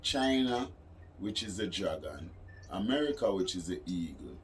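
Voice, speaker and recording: A low-pitched man speaks with a Caribbean accent in an insistent, sermonizing manner. He repeats words to drive his points home as he explains his views in an interview, with clear ambient noise around him. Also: expressive, normal speed. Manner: preaching, insistent, repeats phrases for emphasis. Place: studio, during a phone call. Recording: noisy ambient room recording.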